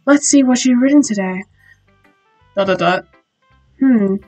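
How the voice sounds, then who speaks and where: A woman voicing a shy, bookish teenage girl, reading softly with small slips. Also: on the high side, faint music behind. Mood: timid. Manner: soft, halting reading with slips. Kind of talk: reading visual novel lines aloud.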